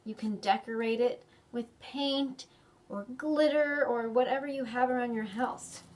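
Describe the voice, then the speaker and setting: Slow-paced woman with an American accent. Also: a little high. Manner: simple, encouraging speech addressed to young children. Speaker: children's librarian, storytime host. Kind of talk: preschool storytime craft instruction. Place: indoors in a library.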